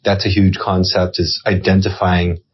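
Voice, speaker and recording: Man, podcast host, low-pitched, affirming, muffled lo-fi audio. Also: flat delivery, moderate pace, husky. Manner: encouraging, affirming, sums up what he hears. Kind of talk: casual interview chat.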